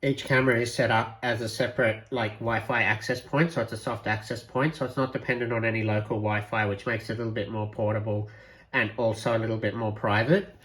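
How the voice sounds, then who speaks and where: A man's voice: fairly low pitch, flat delivery, fairly quick, fairly bright tone. A man presenting a homemade electronics project in an informal, unscripted way, hesitantly thinking aloud as he goes. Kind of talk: casual unscripted project walkthrough.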